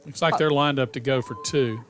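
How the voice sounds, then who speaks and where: A man giving conversational, opinionated color commentary on a high school football radio broadcast. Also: fairly bright tone. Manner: conversational, opinionated, quick to react.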